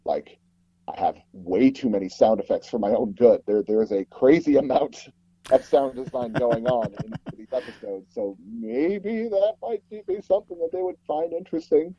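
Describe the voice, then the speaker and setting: A man's voice: mid-range voice, moderate pace, warm tone, lo-fi sound. A man being interviewed, talking casually in a hesitant, rambling and self-deprecating way. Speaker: interview guest, audio drama producer.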